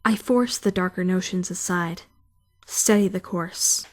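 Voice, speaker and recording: A woman's voice: fairly low pitch, unhurried, darkish tone. A woman playing an anxious, brooding fourteen-year-old schoolgirl who narrates her self-doubting thoughts in a visual novel fandub. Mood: pensive, uneasy. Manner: introspective, questioning, self-critical. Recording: music behind the voice.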